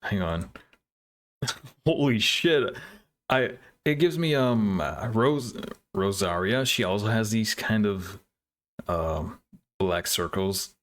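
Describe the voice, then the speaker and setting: A man's voice: fairly low pitch, lively intonation, takes it slowly, pauses often. A man hosting a reaction video, commenting casually and hesitantly as he thinks aloud. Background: music.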